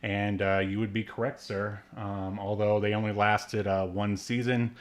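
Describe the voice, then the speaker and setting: A man's voice: lowish voice, flat delivery, measured pace. A man hosting a podcast, chatting casually and rambling with frequent fillers. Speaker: podcast host. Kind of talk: casual chat.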